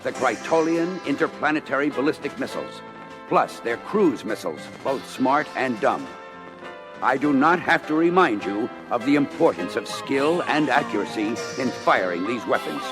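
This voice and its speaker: A man's voice: mid-range voice, very animated, normal speed, over music, lightly acted. An actor playing a stern military commander in an audio adventure, addressing his crew in a formal, urgent, commanding manner. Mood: grave, urgent. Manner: commanding, formal, emphatic briefing. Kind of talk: 1980s children's audio adventure record acting.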